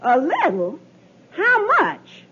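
Exasperated, practical wife, scolding and very lively in pitch, muffled 1950s radio comedy. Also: female voice, slow pace, exaggerated voice. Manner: scolding, emphatic. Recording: muffled, noisy old radio recording.

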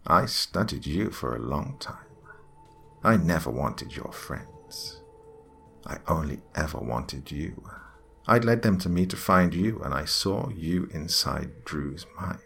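A man voicing an evil alien demon queen in a horror story, with a raspy, slithering, mock-tender and menacing delivery. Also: very deep voice, lively intonation, slow, a bit bright, heavily acted. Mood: sinister, coaxing.

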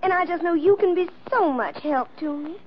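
An actress playing a sweet, fretful young Southern belle with an American Southern accent in a 1940s radio drama. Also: female voice, extremely high pitch, fairly quick. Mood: gushing, coy. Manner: gushing, coy, effusive. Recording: dull, noisy old radio recording.

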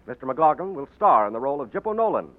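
A man's voice: medium pitch, sing-song, quick pace. A man announcing in a formal, grand, declamatory 1940s radio style. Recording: tinny 1940s radio recording, music behind.

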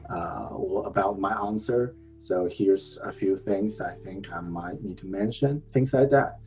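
A man whose first language is Chinese speaks as a guest on an online video call, talking in halting phrases with many fillers and restarts. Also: low-pitched, a little mellow, faint music behind. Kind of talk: online talk answering a host.

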